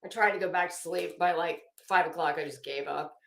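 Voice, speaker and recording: A woman's voice: fairly low pitch, brisk, vocal fry, a bit bright. A woman hosting a casual livestream chat, talking to viewers in a gossipy way with chuckling, coy asides. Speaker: livestream host. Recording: roomy, echoey room sound.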